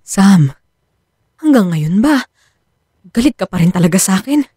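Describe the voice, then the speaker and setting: An actress playing a conflicted wife torn between family and lover in a radio drama. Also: female voice, medium pitch, very animated, normal speed, warm tone, breathy edge, no room sound, clean studio sound. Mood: defensive, hurt.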